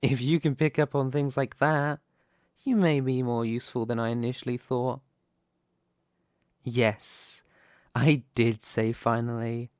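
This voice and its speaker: Man playing young mafia boss, mid-pitched male voice, slow deliberate delivery, smugly possessive, theatrical menace, ASMR roleplay. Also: darkish tone, dry recording, studio quality. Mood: condescending, scheming.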